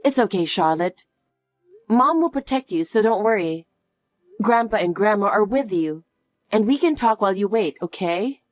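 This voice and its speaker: A woman playing a calm, protective mother who speaks softly and reassuringly to her daughter. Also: mid-range voice, no echo, soft background music, natural voice. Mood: soothing. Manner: soft, slow, reassuring. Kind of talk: dramatic dialogue.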